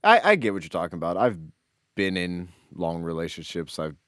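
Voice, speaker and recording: Male podcast co-host, deep register, dry sound, loose joking chat. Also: very animated, fairly quick, a bit bright, no room sound. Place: small office.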